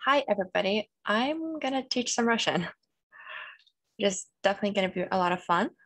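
A woman's voice: mid-range voice, normal speed, pauses often, fairly bright tone, low-quality recording. Young woman teaching on a video call. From Kyrgyzstan (family). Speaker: student language presenter. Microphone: video call laptop mic.

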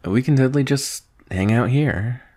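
A young man playing a shy, adoring best friend in an intimate boyfriend ASMR roleplay, speaking softly and hesitantly. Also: mid-range voice, normal speed, close to an everyday voice. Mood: caring, surprised. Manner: hesitant, soft-spoken, breathy sighs and nervous chuckles. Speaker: audio roleplay performer.

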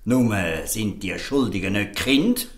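A man's voice: fairly low pitch, expressive, takes it slowly. An actor playing an earnest, defiant fisherman in a Swiss German audio drama, speaking emphatically and pleadingly. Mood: indignant, pleading. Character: earnest, defiant fisherman defending outcast children. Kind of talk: audio drama dialogue in dialect.

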